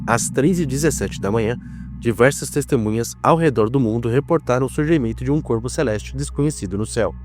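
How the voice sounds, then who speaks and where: A Brazilian man narrates a scripted horror documentary in a mid-pitched voice. His delivery is solemn and measured, with dramatic weight, and soft music plays underneath.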